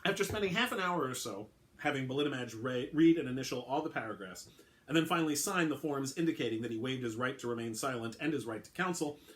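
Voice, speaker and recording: A man narrating his own short story in a calm, measured reading. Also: medium pitch.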